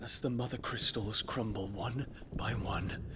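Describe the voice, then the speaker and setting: An actor playing a noble young prince, speaking formally with measured, grave delivery in a video game cutscene. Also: male voice, lowish voice, fairly even intonation, natural voice. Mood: accusing. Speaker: prince confronting his father. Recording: game audio with clear background noise.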